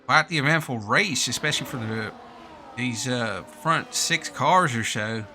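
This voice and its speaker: Man, very bright put-on American commentary voice. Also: mid-range voice, measured pace, a little hiss or hum. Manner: informal, slangy. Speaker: race commentator and streamer. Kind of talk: live sim-racing commentary with casual asides.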